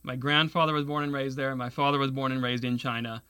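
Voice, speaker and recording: Bright-voiced earnest man explaining. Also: mid-range voice, steady tone, fast, smooth. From Taiwan. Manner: earnest, explanatory, with small restarts. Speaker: guest, securities lawyer. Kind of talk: radio interview.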